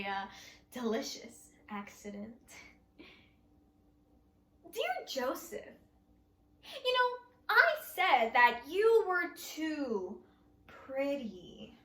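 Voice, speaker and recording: A woman's voice: high voice, pushed and bright, echoey room. Theatrical woman performing spoken word poetry. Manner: theatrical, emotional, mocking delivery. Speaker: poet, writer.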